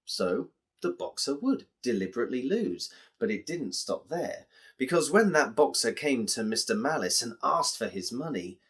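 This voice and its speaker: Animated English storyteller with lively pitch. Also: male voice, moderate pace, breathy edge. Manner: expressive, explanatory, addressing listeners directly.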